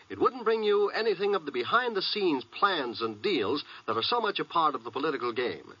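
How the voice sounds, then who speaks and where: A male American network announcer delivers a 1950s radio promo. His voice is fairly high and forceful, slightly husky, with very animated pitch. It comes through dull, like an old broadcast. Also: no room sound.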